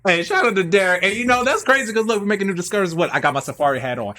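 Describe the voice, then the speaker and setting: A man's voice: high voice, expressive, rapid-fire, sharp tone. A man in casual, self-promoting chat, talking in hype slang and repeating phrases for emphasis. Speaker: playwright and performer.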